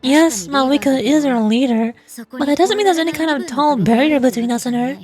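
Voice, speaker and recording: Woman playing an easygoing, measured young female guide. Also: high-pitched, music in the background, natural voice.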